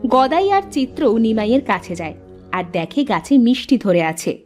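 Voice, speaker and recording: A woman's voice: on the high side, big pitch swings, moderate pace, darkish tone, soft background music. A woman reading scripted storybook narration for a cartoon folk tale.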